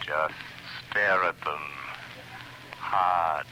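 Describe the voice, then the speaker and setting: Calm, commanding manipulator, deep and slow, noisy old radio. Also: male voice. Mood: hypnotic. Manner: soothing, hypnotic, persuasive. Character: the Shadow, calm commanding male mind-controller.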